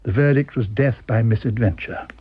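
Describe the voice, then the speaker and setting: A man's voice: medium pitch, normal speed, slightly rough, narrow, tinny audio. A man with a British accent reading a scripted story as a dramatic narrator. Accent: British English. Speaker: audiobook reader.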